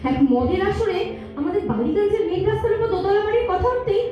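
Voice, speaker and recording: Heard from far off in an echoey hall, an actress plays a young woman lover with a high voice and Kolkata Bengali accent. She is aggrieved and tender at once, speaking in the deliberate, emotive manner of a stage radio play. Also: expressive. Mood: bitter, accusing. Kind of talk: staged audio drama acting. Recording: echoey hall, distant, muffled, music.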